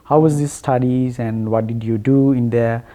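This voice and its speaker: A man with a Sri Lankan accent asking informal interview questions in halting, roundabout phrases. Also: mid-range voice, fairly even intonation, dark tone.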